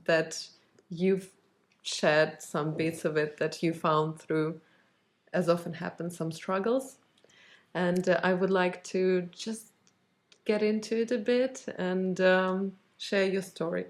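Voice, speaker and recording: A woman's voice: fairly low pitch, fairly even intonation, slow pace. A woman hosting a podcast, introducing her guest enthusiastically and asking questions in a casual way with frequent fillers. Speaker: podcast host. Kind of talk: casual interview.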